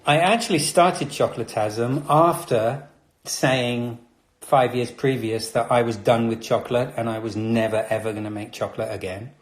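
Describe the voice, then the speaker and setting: A man from Britain chats casually about his chocolates, chatty and a little nervous, with frequent ums. His voice sits in an ordinary middle pitch. The room around him gives a noticeable echo. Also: steady tone, moderate pace. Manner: chatty, nervous, many fillers. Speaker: chocolatier host. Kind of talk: casual live chat. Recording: roomy echo.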